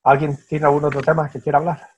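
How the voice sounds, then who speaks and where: Husky man moderating, dull audio. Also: on the high side, flat delivery, fast talker. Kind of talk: moderating an online discussion.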